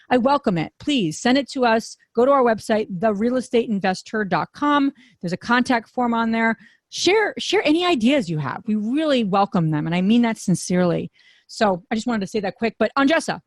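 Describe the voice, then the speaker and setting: A woman's voice: fairly high pitch, lively intonation, fairly quick, dry recording, clean studio sound. A woman hosting a podcast, talking in a loose, rambling way with lots of fillers and restarts. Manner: warm rambling delivery with many fillers. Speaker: podcast host.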